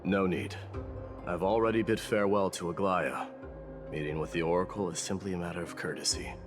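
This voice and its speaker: A man playing a proud, stoic warrior prince in a fantasy game, speaking gravely and formally. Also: low-pitched, brisk, close to an everyday voice. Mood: solemn, resolute. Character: proud, stoic warrior prince, demigod, resigned to his fate. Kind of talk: scripted fantasy game voice acting. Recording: music behind the voice.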